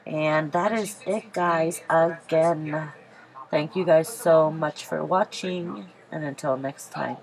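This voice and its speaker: Deep-voiced woman with a stuffy cold. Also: slow pace, vocal fry, crowd noise. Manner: chatty, rambling, many ums and self-corrections. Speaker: beauty vlogger. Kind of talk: casual makeup tutorial voice-over.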